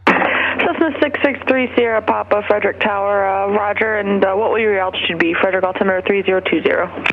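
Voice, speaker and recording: An air traffic controller giving brisk procedural instructions over aviation radio. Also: medium pitch, creaky. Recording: dull, very noisy aviation radio.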